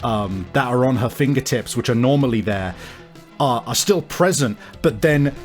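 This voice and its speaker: A man improvising narration as the game master of a tabletop game, explaining things in halting, hedging phrases. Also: mid-range voice, animated. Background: music.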